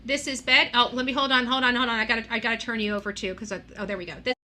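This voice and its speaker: A woman runs a casual live call-in talk show. Her voice sits on the higher side with a very bright, forceful edge. She speaks bluntly and gives her opinion freely. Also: fairly even intonation, rapid-fire.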